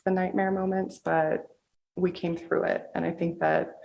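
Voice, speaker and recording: A woman's voice: lowish voice, little pitch movement, a little slow, gravelly, muffled recording. An American woman author from the Pacific Northwest answering audience questions casually and candidly, with informal, slangy phrasing. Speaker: author at a book reading.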